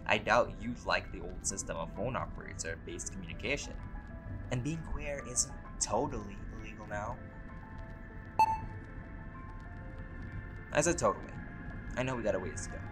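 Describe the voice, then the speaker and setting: A man's voice: on the low side, rough recording. A man playing a laid-back, vain, centuries-old vampire in a conversational roleplay audio drama, with casual, sweary, bantering delivery. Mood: earnest, amused.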